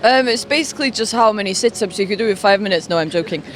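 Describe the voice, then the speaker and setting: A woman's voice: mid-range voice, expressive, fast. A woman performer giving a jokey outdoor interview and giggling between her answers. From Wales. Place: busy street outdoors.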